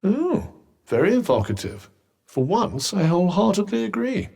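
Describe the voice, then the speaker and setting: A man's voice: high-pitched, wildly swooping pitch, moderate pace, gravelly, darkish tone, slightly rough, big-room sound, heavily acted. An actor voicing a witty, sardonic male bracelet companion in a video game, speaking with a dry, teasing manner.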